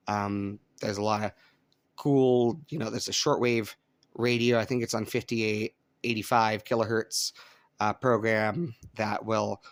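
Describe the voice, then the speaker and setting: Low-voiced easygoing man from Colorado. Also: fairly bright tone, dry recording, studio quality. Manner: easygoing and chatty, with frequent ums. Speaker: radio hobbyist channel host. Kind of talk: casual show-and-tell demonstration. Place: at home indoors beside his radio.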